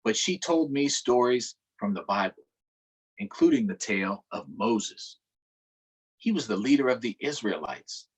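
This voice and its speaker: A man's voice: medium pitch, unhurried, husky, low-quality recording. A man hosting a virtual storytime, reading a picture book aloud to children and stopping to ask them questions. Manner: expressive, asks the children questions.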